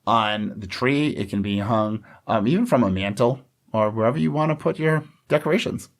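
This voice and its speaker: A man's voice: medium pitch, normal speed. A man co-hosting a podcast in casual conversation, explaining things in a chatty, agreeable way. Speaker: podcast co-host. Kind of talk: casual scripted-outline podcast chat.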